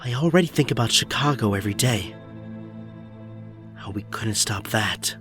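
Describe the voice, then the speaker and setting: A man's voice: on the low side, moderate pace, over music, natural voice. A man acting a haunted, stubborn young superhero in an audio drama, earnest and emphatic. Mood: haunted, resolute.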